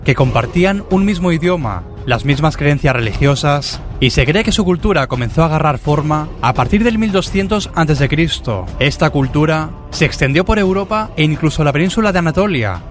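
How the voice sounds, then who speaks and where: A man reading a scripted history narration in an even, measured way over background music. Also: fairly high pitch. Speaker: documentary narrator.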